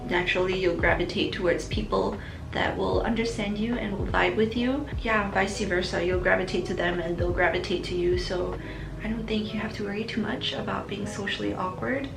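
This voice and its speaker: A young woman from Singapore talks through viewer questions in an easy, reflective way, laughing now and then. Her voice has a slight gravelly fry. She sounds a little roomy, with music playing underneath. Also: mid-range voice, steady tone, brisk. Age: young adult. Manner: chatty, reflective, laughs between answers. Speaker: vlogger. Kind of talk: casual chat answering viewer questions.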